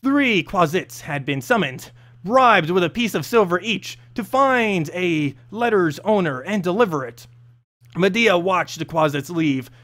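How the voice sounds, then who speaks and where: A man's voice: sits high, lively intonation, unhurried, a bit bright. A man reading a scripted fantasy story aloud as narrator, expressively and with the odd stumble over words. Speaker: narrator and host of a lore reading series.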